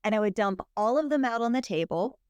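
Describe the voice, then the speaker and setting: Woman guest, slightly high smooth voice, dry close mic, bubbly and chatty. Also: lively intonation, fast, a little mellow, dry recording. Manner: bubbly, laughs often. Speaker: podcast guest, health coach. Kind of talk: casual interview chat.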